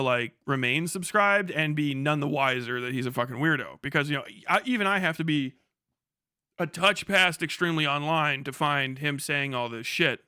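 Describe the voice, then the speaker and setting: A man's voice: on the high side, bright, forceful tone, clean tone, no room sound, studio quality. A man hosting a livestream with casual, slangy, profanity-laden commentary. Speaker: livestream host.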